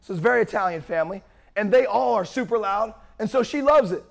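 A young man preaching a sermon with a worn-out, strained voice and a loud, projecting manner. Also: sits high, expressive, fast talker, gravelly. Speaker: pastor.

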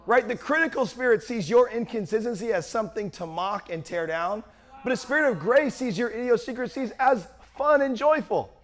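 A young man preaching a sermon with a worn-out, strained voice and a loud, projecting manner. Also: very high-pitched, expressive, moderate pace. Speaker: pastor.

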